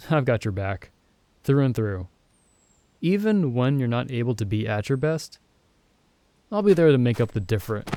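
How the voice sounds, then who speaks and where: A man playing a caring, reassuring young male friend in an ASMR comfort roleplay, speaking gently with hesitant, soothing pauses. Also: medium pitch, no room sound, natural voice. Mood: warm, supportive. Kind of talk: ASMR comfort roleplay, intimate acted monologue.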